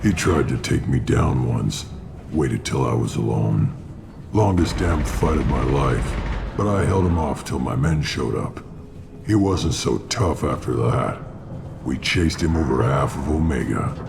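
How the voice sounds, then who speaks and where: A gruff, boastful space mercenary for a video game. The voice is extremely deep and gravelly, with curt, sweary delivery over clear background noise. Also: male voice, heavily acted.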